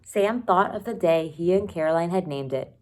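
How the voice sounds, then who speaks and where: A woman with a slightly low voice reads aloud as a teacher would from a children's novel. Her delivery is expressive and deliberate, setting out the story phrase by phrase. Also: poor audio.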